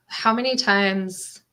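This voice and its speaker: A woman's voice: medium pitch, sharp tone, poor audio. A woman guest on an interview podcast, a coach, talking in an earnest, reflective, explanatory way. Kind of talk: casual interview chat.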